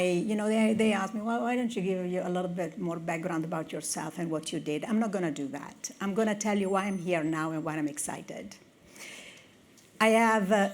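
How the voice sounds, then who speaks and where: A woman from Italy with an Italian accent, a tech executive giving an earnest conference talk with personal stories. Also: lowish voice. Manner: earnest, storytelling, with restarts. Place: conference hall with audience.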